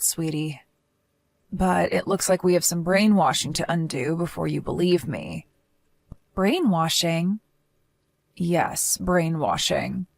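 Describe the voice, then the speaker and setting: A woman's voice: lowish voice, darkish tone, no echo. A woman voicing a protective young sister-in-law who speaks in an earnest, reassuring manner.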